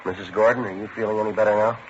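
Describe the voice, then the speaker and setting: Actor as a quick, deep-voiced deadpan police detective. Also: male voice, natural voice. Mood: businesslike, polite. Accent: American. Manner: deadpan, clipped, matter-of-fact. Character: terse, businesslike male police detective sergeant. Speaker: radio drama actor and narrator. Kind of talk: 1950s radio police drama acting. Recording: muffled old radio recording with noise.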